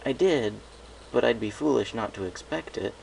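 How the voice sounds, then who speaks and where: A man's voice: medium pitch, expressive, normal speed, creaky, pitched above the performer's own voice. Guy playing a shy sad young pony dude, polite and unsure, story reading with faint noise. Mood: resigned. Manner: hesitant, soft-hearted. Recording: noisy home recording.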